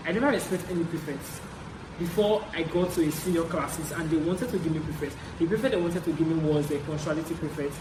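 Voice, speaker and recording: A young adult man with a Nigerian accent tells stories casually, in an animated way, repeating phrases for emphasis as a vlogger. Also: a little high, quick pace. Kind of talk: casual story-time chat. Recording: roomy echo, clear background noise.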